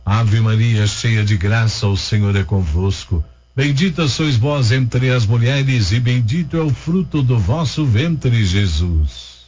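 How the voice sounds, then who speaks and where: A man's voice: medium pitch, slow, husky. A Brazilian man hosting a radio prayer show, steadily reading out listeners' names and towns and leading the prayers. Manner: steady, rhythmic listing of names and places. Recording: dull lo-fi radio broadcast.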